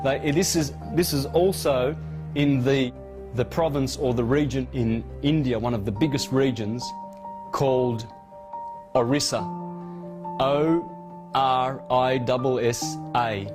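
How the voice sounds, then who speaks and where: A man's voice: medium pitch, fairly even intonation, takes it slowly. A man giving an informal, emphatic lecture on esoteric symbolism, repeating key words and throwing in scornful asides. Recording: loud music behind the voice. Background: music.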